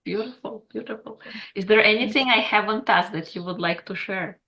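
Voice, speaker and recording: A woman hosting a podcast interview, asking questions and giving soft affirming replies. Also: medium pitch, moderate pace, dull audio. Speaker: podcast host.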